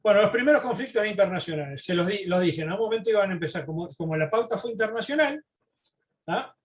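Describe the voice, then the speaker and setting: A man giving an informal slide lecture, halting, repeating words and often adding short questioning tags. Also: high voice, lively intonation. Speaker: presenter giving a history talk.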